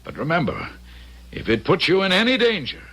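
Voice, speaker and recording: A man's voice: sits high, sing-song, fairly quick, sharp tone, heavily acted, low-quality recording. An actor playing an anxious, fatherly inventor in an old-time radio drama, hesitant and earnest in manner. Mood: earnest, cautioning.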